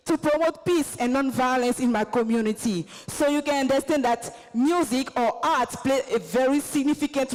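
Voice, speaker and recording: Woman, Ivorian accent, high voice, earnest convention speech, echoey hall. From Côte d'Ivoire. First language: Baoulé. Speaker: teacher and singer giving a talk.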